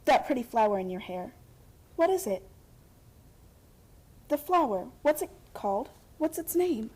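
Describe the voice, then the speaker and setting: A high-voiced, impassioned young heiress. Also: female voice, big pitch swings, a little slow, darkish tone, husky, full character voice. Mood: earnest pleading. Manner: earnest, insistent, pleading to commanding. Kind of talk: radio play acting.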